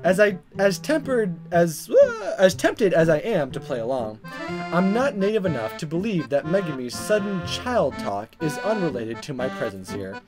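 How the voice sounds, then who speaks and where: A woman's voice: on the low side, sing-song, moderate pace, over music. A woman hosting a let's play, reading dialogue aloud dramatically and commenting in a playful, exaggerated way. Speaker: gaming video host.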